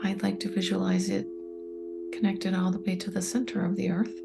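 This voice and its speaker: A woman leading a guided meditation, speaking softly and slowly, often close to a whisper. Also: mid-range voice, monotone, creaky, heavy background noise. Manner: soft, slow and soothing, often near a whisper. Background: soft meditation music with singing bowl tones.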